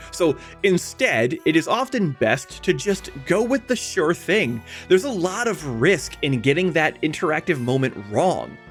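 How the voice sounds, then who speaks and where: A man's voice: fairly high pitch, fairly bright tone. A man narrating a scripted video essay in an emphatic, conversational way, speaking straight to the viewer. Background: background music.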